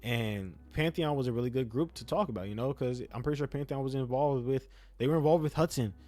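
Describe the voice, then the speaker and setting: Fast-talking young man, mellow voice. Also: medium pitch, faint music behind. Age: young adult. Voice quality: self-described speech impediment. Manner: rambling, slangy, repeats phrases for emphasis. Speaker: YouTube gaming content creator. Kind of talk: casual opinionated game review talk.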